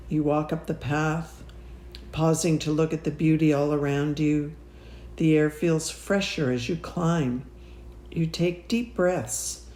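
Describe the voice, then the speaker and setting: A woman narrates a guided meditation at a very unhurried pace. Her tone is soft-edged and mellow, with measured, soothing phrasing and long pauses. The sound is roomy, with a noticeable echo around the voice. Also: sits low, a little hiss or hum.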